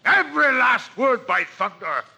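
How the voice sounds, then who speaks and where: A villainous captain, high and piercingly sharp, with a thick drawl. He is sly and blustering, swinging widely in pitch through a gravelly creak, as on an old adventure record. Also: very animated, unhurried, heavily acted. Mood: sly, bargaining. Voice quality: raspy, gravelly. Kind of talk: audio drama acting on a record.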